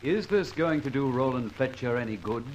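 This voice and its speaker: A man's voice: medium pitch, expressive, moderate pace, a little mellow. A man announcing an old-time radio serial in a dramatic, scripted style. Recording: faintly noisy old radio recording.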